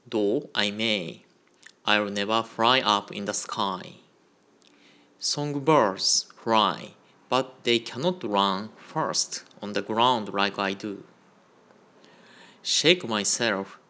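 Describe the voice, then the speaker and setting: A man giving a Buddhist Dharma talk on a radio program, in a slow, measured and careful delivery. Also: lowish voice, sharp tone. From Japan. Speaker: Buddhist minister.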